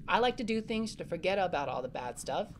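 A protective young big brother voiced by a woman in a family audio drama, slightly low in pitch for a female voice. He sounds earnest, responsible and reassuring, with faint noise in the background. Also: lively intonation, brisk, lightly acted. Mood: reflective, earnest.

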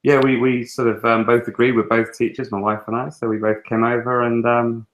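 A man's voice: mid-range voice, flat delivery, a little fast, muffled recording. A man in his thirties from England with an English accent, a guest talking casually in a webinar interview, full of fillers and restarts, on an earphone mic in a small room at home. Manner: chatty, lots of fillers and restarts. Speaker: guest teacher and author. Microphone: earphone headset on a video call.

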